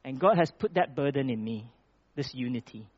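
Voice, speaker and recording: A man's voice: mid-range voice, lively intonation, moderate pace, a little mellow, husky, no room sound, lo-fi sound. A man from Malaysia preaching a sermon, reading scripture with rising emphasis and then talking plainly with small restarts.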